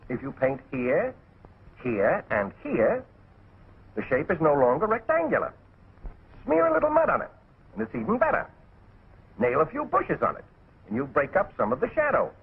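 High, animated cartoon critter instructor. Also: male voice, husky, heavily acted. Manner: bossy, instructive, folksy. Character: brisk, wisecracking cartoon animal camouflage instructor in top hat. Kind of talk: 1940s animated training film narration. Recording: muffled, tinny 1940s film soundtrack.

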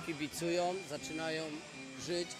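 A man's voice: fairly high pitch, flat delivery, moderate pace, very echoey. A man co-commentating live on a wrestling match at a busy indoor arena event. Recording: loud music and crowd noise behind. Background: loud music, crowd.

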